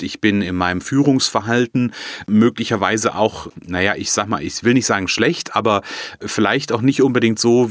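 Man, low voice, dry close mic, podcast guest, thoughtful, hesitant, restarts sentences. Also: fairly bright tone, dry recording. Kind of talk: casual conversational interview.

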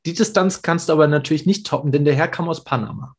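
A man's voice: a little high, quick pace, slightly rough. Enthusiastic chatty male podcast host. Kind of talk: casual podcast interview.

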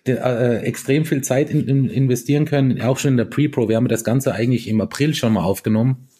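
Mellow, husky-voiced musician man. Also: mid-range voice, fast talker. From East Tyrol, Austria. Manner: relaxed, chatty, with many fillers and restarts. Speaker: band musician, guest. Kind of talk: casual interview chat.

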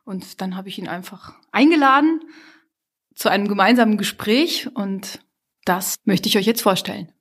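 A woman's voice: mid-range voice, moderate pace, husky. A woman presenting and interviewing a guest for a local podcast, friendly and engaged. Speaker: interviewer, chair of a support association.

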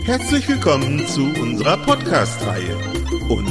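A man's voice: medium pitch, huge pitch swings, unhurried, in a large hall, loud music behind the voice. A man announcing a comedy podcast episode in a theatrical, scripted way. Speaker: podcast host.

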